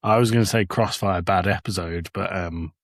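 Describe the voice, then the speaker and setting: A man with a lowish voice co-hosts a review podcast. He chats casually and gives short opinions, with a very dry, close sound. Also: normal speed, no room sound. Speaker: podcast co-host. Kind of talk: casual chat.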